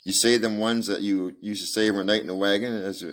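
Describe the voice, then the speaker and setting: Guy reading as a tired old-west man, soft folksy drawl, real deep voice. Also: steady tone, fast, close to an everyday voice. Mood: gentle. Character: weary frontier man, gentle with the child, drawling. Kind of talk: amateur audiobook reading.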